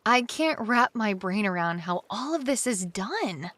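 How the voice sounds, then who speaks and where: A woman's voice: mid-range voice, expressive, measured pace, fairly bright tone, no echo. An actress playing an amazed, curious young woman visiting a sci-fi city in an audio drama. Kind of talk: full-cast audio drama acting.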